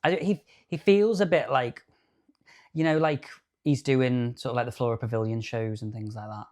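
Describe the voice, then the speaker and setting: A man in his thirties co-hosting a podcast, chatting in an animated, campy way. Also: mid-range voice, normal speed. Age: 30s. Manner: animated, chatty, camp asides. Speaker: podcast co-host. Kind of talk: casual chat.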